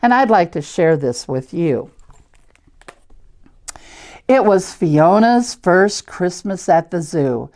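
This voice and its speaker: An older woman with an American Midwestern accent reads and chats to children as a storyteller, in simple, deliberate wording with questions to her listeners. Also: fairly low pitch, lively intonation, darkish tone. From Iowa, United States. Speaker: church storyteller reading picture books to children. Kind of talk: children's read-aloud with chatty asides.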